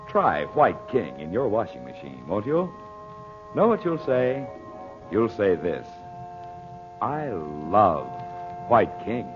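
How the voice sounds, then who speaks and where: Animated American vintage radio announcer, male. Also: lowish voice, slow, pauses often. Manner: polished, persuasive. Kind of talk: 1940s radio commercial and announcing. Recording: muffled 1940s radio recording with music. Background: music.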